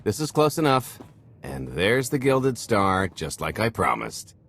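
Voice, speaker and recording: An actor playing a cocky, roguish space smuggler with a glib, sardonic manner in a video game. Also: male voice, fairly low pitch, big pitch swings, a little slow, a bit bright, a little hiss or hum, full character voice. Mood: wry banter. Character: cocky roguish male smuggler, a wisecracking heist planner.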